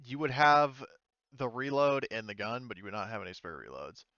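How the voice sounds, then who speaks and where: A man's voice: medium pitch, big pitch swings, fairly quick, sharp tone, no room sound, muffled recording. A man casually narrating as game master of a tabletop game, moving between informal chat and descriptive narration.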